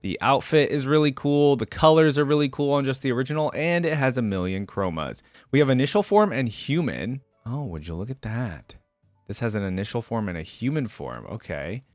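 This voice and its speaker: A man hosts a casual reaction video, thinking out loud as he gives his opinions. His pitch moves around a lot, sitting at a middling height overall. The sound is close and dry, with an informal, unscripted delivery. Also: expressive, brisk, no room sound, soft background music. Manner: mulls aloud, informal, opinionated.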